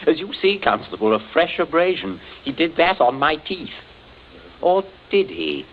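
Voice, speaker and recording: An actor playing a wry, unflappable captain in an old radio suspense play, sardonic, deliberate and polite. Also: male voice, on the high side, expressive, close to an everyday voice. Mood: pointed. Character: wry, unflappable captain calmly turning accusations back. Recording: muffled, hissy old radio recording.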